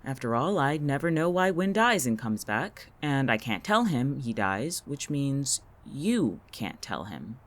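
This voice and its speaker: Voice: medium pitch, sing-song, unhurried, hardly any pauses. An actor in an audio drama playing an irritable, sardonic, coolly calculating town resident with a dry, biting wit. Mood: probing, cold. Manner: biting, sarcastic, dry-witted.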